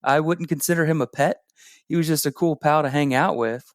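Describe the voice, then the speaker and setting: Smooth-voiced man chatting casually. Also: fairly high pitch, brisk, dry recording, clean studio sound. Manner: relaxed storytelling with frequent fillers. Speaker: podcast guest. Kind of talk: casual conversation.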